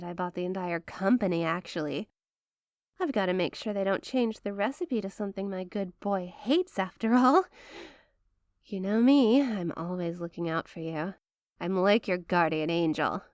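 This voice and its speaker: A woman's voice: mid-range voice, darkish tone, dry recording, clean studio sound, lightly acted. A woman playing a rich, possessive, doting girlfriend in an intimate ASMR roleplay, sweet-talking one listener in a coaxing way.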